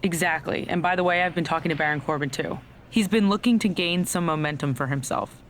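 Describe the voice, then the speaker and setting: A woman's voice: lowish voice, a little fast, a little hiss or hum, lightly acted. An actress playing a scheming, self-serving female wrestler in a video game cutscene, talking in a casual, conspiratorial way. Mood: sly, persuasive.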